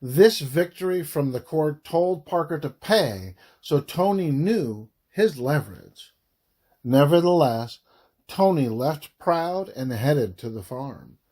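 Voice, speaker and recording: A man reading a scripted audiobook narration in a deliberate way, phrase by phrase with frequent pauses. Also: fairly high pitch, lively intonation, dark tone. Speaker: author reading own audiobook.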